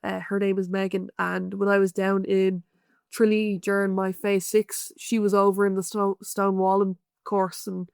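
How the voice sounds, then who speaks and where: An Irish woman in her young adult years chats as a guest, loose and filler-heavy, quick to laugh. Her voice sits at a middling pitch and the sound is dry and close. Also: level tone, vocal fry, darkish tone, smooth, dry recording. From Ireland. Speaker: guest stonemason. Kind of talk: casual interview chat. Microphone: remote call.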